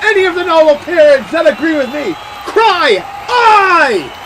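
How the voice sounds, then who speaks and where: Very high-pitched man as an impassioned prince. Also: big pitch swings, a little slow, full character voice. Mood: rousing. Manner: declamatory public speech. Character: impassioned male prince, humbly refusing the throne. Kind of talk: fantasy audio drama acting. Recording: loud crowd and background noise. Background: crowd.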